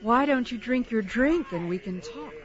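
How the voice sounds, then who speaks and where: A woman's voice: fairly high pitch, animated, moderate pace, lightly acted. Woman playing a coaxing tavern wench, muffled. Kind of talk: pulp fantasy audio drama acting. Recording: dull lo-fi, noisy crowd behind.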